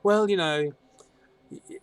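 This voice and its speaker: A man's voice: sits high, measured pace, no room sound. A man co-hosting a casual stream about a fantasy sports board game league, chatty and jokey with many restarts. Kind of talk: casual chat commentary.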